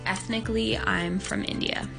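A woman's voice: fairly high pitch, steady tone, normal speed, gravelly, fairly bright tone, soft background music. A nineteen-year-old woman from Hong Kong, a podcast guest answering questions in an earnest, thoughtful way, with many "like" fillers. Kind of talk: casual interview answers.

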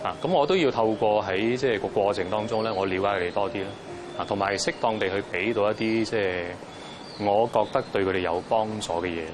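A man's voice: on the low side, quick pace, over music. A man with a Hong Kong Cantonese accent, talking reflectively as a schoolteacher in an interview. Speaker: secondary school Chinese teacher.

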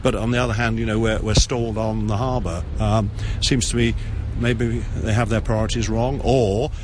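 A man's voice: lowish voice, fairly even intonation, moderate pace, background noise. A man who grew up in Brazil, answering interview questions outdoors by a harbour, hesitant with many fillers and restarts.

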